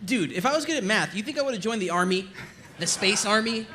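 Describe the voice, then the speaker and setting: A man playing a sarcastic, mouthy young soldier in an animated comedy table read, needling whoever he talks to. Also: high-pitched, a little fast.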